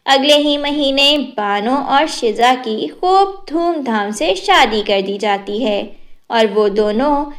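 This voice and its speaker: A woman narrating a moral storybook tale in a measured, even storytelling manner. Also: sits high.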